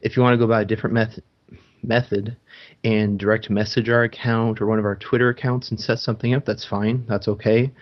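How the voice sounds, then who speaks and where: A man's voice: lowish voice, flat delivery, brisk. A man hosting a gaming podcast in casual chat, talking loosely with frequent ums and restarts. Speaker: podcast host. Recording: dull lo-fi sound.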